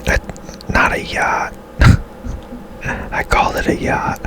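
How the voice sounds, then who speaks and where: Whispering man, slow ASMR game commentary. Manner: hushed, casual, unhurried musing. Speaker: game streamer, ASMR host.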